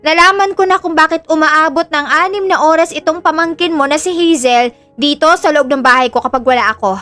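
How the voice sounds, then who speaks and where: A woman's voice: sits high, brisk, sharp tone, soft background music. A woman with a Filipino accent playing a kindly, reassuring lady of the house in an audio drama.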